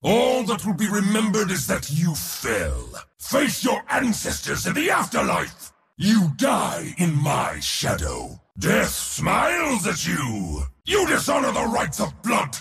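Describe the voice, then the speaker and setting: A man playing an arrogant, boisterous war god in a video game, speaking in a bombastic, boastful way with a gravelly, raspy voice. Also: high-pitched, wildly swooping pitch, takes it slowly, fairly bright tone, shouting, long reverb tail, exaggerated voice. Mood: triumphant, taunting. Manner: bombastic, boastful, theatrical delivery. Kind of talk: video game hero voice lines.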